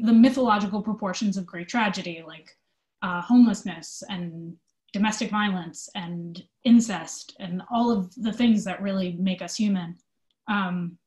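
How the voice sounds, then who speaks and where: A woman giving earnest, thoughtful interview answers in casual, conversational phrasing. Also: mid-range voice. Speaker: theater company manager being interviewed. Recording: echoey room sound.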